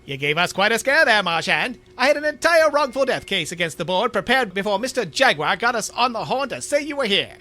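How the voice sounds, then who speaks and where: A fussy lawyer voiced by a man in an audio drama, very high for a male voice and sharply bright. The delivery is formal, wordy and animated. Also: fairly quick, hardly any pauses, exaggerated voice. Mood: relieved, pompous. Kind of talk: audio drama character acting.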